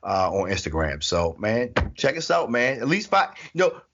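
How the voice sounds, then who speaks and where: A man in his forties chatting as an interview guest, relaxed, laughing often and repeating his phrases. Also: on the low side, moderate pace, muffled recording, a little hiss or hum. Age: 40s. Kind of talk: casual interview chat.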